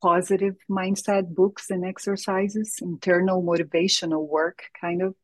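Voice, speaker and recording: A woman's voice: lowish voice, level tone, moderate pace, dry recording. A woman with a Brazilian Portuguese accent, a native Portuguese speaker, talking earnestly about her path as a health coach in a casual interview. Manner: earnest, reflective, laughs often. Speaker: guest, holistic health coach.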